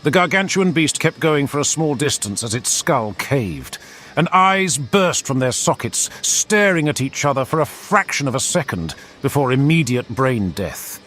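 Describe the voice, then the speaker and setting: A man's voice: medium pitch, big pitch swings, normal speed, fairly bright tone. A man narrating scripted fantasy fiction in a theatrical, intense storytelling manner. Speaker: audiobook-style lore narrator.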